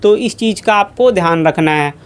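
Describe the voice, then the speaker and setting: A man's voice: high voice, expressive, normal speed. Man explaining step by step. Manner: repetitive, methodical, explanatory. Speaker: educational YouTuber advising students.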